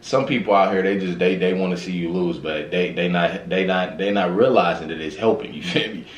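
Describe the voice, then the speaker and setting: A man's voice: sits low, fairly even intonation, fairly quick, dull audio, slight background noise. An American man in his thirties giving casual, slangy reaction commentary, often repeating his phrases. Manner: slangy, repetitive, conversational. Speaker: reaction video host. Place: small indoor room with records on the wall. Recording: roomy echo. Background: faint music.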